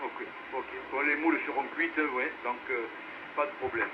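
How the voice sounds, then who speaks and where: A man casually hosting an amateur radio net as its controller, often pausing to think, heard over a radio relay. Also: mid-range voice. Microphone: two-way radio transmission. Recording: muffled, noisy VHF amateur radio relay.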